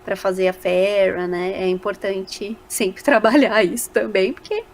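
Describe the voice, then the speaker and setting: A woman's voice: medium pitch, fairly even intonation, unhurried, a little mellow. A Brazilian woman speaking casually as a voice actor guest in a podcast interview, animatedly telling stories and acting out little quoted remarks.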